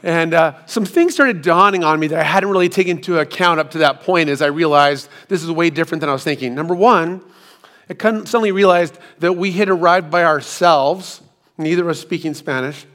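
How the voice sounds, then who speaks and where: A hoarse middle-aged man giving a sermon, telling a personal story with self-deprecating asides, speaking into a hands-free microphone. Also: fairly high pitch, a little fast, fairly bright tone. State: hoarse, recovering from lost voice. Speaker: pastor.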